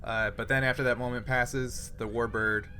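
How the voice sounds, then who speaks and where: A man's voice: medium pitch, flat delivery, normal speed, pushed and bright, a little hiss or hum. A man acting as game master, narrating a sci-fi tabletop game and making rulings in an informal, conversational way.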